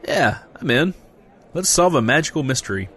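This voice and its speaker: An actor playing an incredulous buddy who is game for adventure, casual and reactive, in a comedic fantasy audio drama. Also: male voice, mid-range voice, big pitch swings, normal speed, a bit bright. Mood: incredulous, eager.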